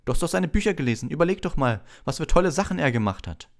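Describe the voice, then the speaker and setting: A man's voice: fairly high pitch, animated, fast talker, creaky, pitched above the performer's own voice. A man voicing an earnest, bossy schoolgirl in an insistent, lecturing manner for a storybook reading. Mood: insistent, indignant. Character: earnest, bossy schoolgirl defending her teacher.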